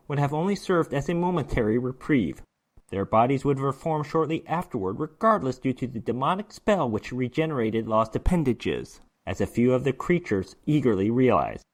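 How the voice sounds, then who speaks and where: A man narrating a horror short story as a dramatic reading, with theatrical, emphatic delivery. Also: medium pitch.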